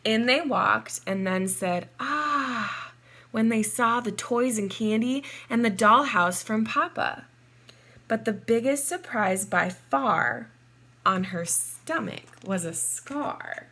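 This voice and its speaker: A woman reading a children's storybook aloud in a measured, deliberate and expressive way, drawing out words. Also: fairly high pitch. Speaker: children's storybook reader, teacher. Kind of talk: read-aloud storybook narration for young children.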